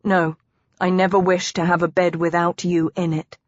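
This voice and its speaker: A woman's voice: lowish voice, level tone, normal speed, no echo, close to an everyday voice. A woman voicing a tender, teasing husband in a historical romance audiobook, with formal old-fashioned phrasing. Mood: earnest. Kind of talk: audiobook character dialogue. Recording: dry, slightly dull close recording.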